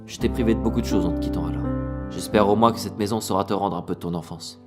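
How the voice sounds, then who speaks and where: A man's voice: fairly low pitch, fast talker, natural voice. An actor playing a remorseful middle-aged father, speaking tenderly and solemnly in an audio drama. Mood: solemn, loving. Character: remorseful absent father leaving a tender recorded message. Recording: plucked guitar music behind.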